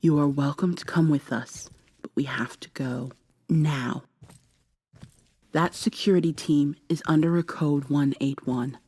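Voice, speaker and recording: A woman playing an earnest, regretful robot woman in an ASMR audio drama, speaking softly in a formal, measured way. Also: low voice, fairly even intonation, husky, no room sound, voice pushed down. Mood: urgent.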